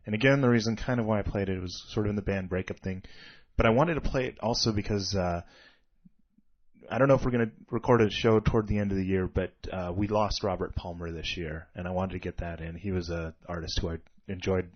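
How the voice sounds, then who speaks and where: A man with a deep voice hosts a casual radio music show from a studio, wandering through band history at an easy ramble. His voice sits very low, and the dull, lo-fi recording takes the shine off it. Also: fairly even intonation, quick pace. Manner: rambling, chatty about music trivia. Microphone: studio microphone.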